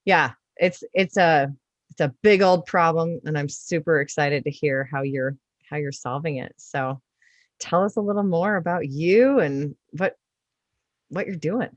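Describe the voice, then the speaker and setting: A woman's voice: low-pitched, a little slow, no echo. A woman hosting a podcast interview in a casual, enthusiastic and emphatic way. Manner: effusive, emphatic. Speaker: podcast host.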